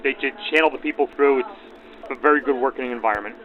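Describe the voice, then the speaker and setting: A man's voice: medium pitch, fast. A man answering interview questions unscripted, in plainspoken short phrases, through an old radio filter. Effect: backdated 1940s radio filter. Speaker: railroad photographer, interviewee. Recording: dull lo-fi radio sound, crowd behind.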